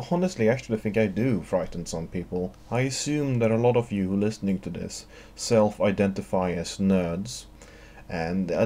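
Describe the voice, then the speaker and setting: A man's voice: deep voice, mellow. A man with a Swedish accent answering viewer questions alone in a dry, wry, wordy monologue. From Sweden. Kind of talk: solo Q&A monologue.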